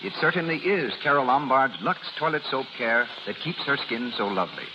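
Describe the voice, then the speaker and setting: A man's voice: mid-range voice, lively intonation, fairly quick. A man working as a 1930s radio announcer, reading scripted advertising with a polished, salesmanlike delivery. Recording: muffled, noisy vintage 1930s radio broadcast.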